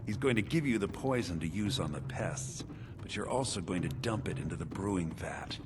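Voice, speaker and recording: An actor playing a bitter, scheming conspirator in a video game, talking in a sly, confiding way. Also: male voice, low voice, expressive, moderate pace. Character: bitter, scheming man, conspirator in a mead-poisoning plot. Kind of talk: video game character dialogue. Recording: game music behind the voice. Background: game music.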